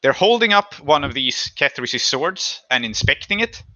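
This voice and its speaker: A man's voice: a little high, very animated, moderate pace, pushed and bright, lo-fi sound. A man working as game master, narrating an improvised tabletop game and feeling his way through descriptions aloud.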